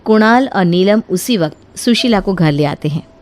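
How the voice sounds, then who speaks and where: A woman narrating a scripted story in an expressive storytelling manner. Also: medium pitch, normal speed. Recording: music behind the voice.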